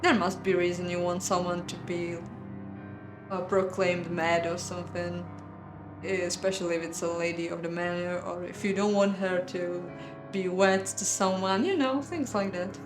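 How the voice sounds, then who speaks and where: A woman's voice: fairly low pitch, fairly even intonation, moderate pace. Woman narrating a game in an echoey room. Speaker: game playthrough host. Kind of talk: reading a visual novel aloud with casual commentary. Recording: roomy echo, music behind.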